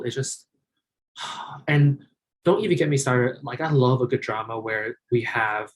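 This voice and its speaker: A man's voice: mid-range voice, poor audio. A man talking as a guest on a podcast, easygoing and enthusiastic in casual chat. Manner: easygoing, enthusiastic, quotes himself playfully. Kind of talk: casual chat about a TV drama.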